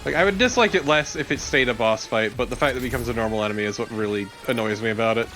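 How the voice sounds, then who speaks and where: A man's voice: mid-range voice, very fast, fairly bright tone. A man co-commentating on a video game in a blunt, opinionated, casual way. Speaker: let's play co-commentator.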